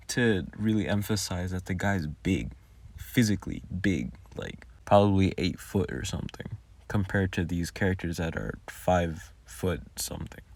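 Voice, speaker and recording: A man giving an informal, halting, self-deprecating behind-the-scenes commentary as an audio creator, talking casually close to the microphone. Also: deep voice, dry recording.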